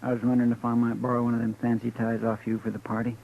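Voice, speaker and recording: An actor playing a simple, eager frontier sidekick with a stammering, deferential drawl, in a 1950s radio drama. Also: male voice, mid-range voice, completely flat delivery, very fast, vocal fry. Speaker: deputy's sidekick. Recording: dull old radio recording with faint hiss.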